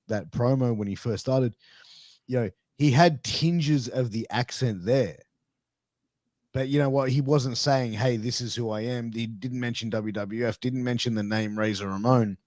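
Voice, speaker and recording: A man with a mid-range voice chats casually on a podcast, relaxed and conversational. The sound is dry and close. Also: mid-range voice, measured pace, no echo. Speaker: podcast co-host.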